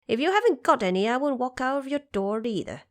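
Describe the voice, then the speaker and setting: A woman's voice: sits high, very animated, quick pace, no room sound, pitched above the performer's own voice. A woman voicing a brash, cocky male monkey immortal who talks boldly and makes demands, in an audio drama. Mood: bossy. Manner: bold, demanding.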